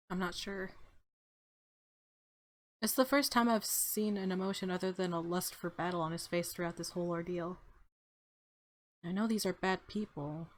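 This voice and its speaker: A woman let's player reading fantasy visual novel narration aloud, with casual asides. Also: fairly low pitch.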